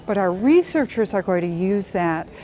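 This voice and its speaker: A woman speaking in a news interview, explaining things in a measured way. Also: medium pitch, expressive, warm tone, slight background noise. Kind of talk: news soundbite.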